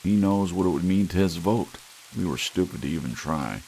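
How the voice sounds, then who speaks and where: A man's voice: low voice, moderate pace. A man voicing an irritable, world-weary husband, blunt and exasperated, in a short story reading. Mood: resigned, bitter. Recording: steady hiss, rain sound underneath.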